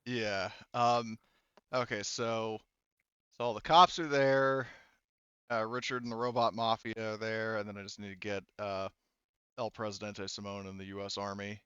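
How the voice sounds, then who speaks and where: A man's voice: fairly low pitch, steady tone, moderate pace, pushed and bright, clear, resonant tone, no room sound, lo-fi sound. A man game master narrating a tabletop session casually, in informal, sweary language. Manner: informal, profane.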